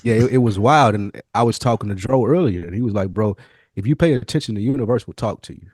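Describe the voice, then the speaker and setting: Low-voiced man in earnest casual chat. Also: quick pace, a little mellow, no room sound. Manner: slangy, earnest, conversational. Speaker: podcast co-host.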